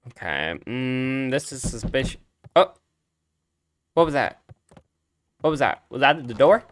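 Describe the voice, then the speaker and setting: A man's voice: medium pitch, sing-song, a little slow. A man doing casual, chatty game commentary, reacting aloud as he plays. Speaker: let's play streamer.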